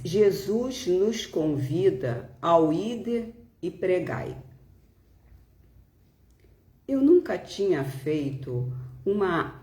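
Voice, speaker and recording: A Brazilian woman delivers a spiritual reading and reflection. Her voice is soft-edged and mellow, and she moves extremely slowly, leaving deliberate pauses between phrases. The recording is noticeably roomy, with an audible echo. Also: fairly low pitch. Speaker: spiritist speaker. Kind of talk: devotional reading and reflective talk. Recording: roomy, echoing home recording.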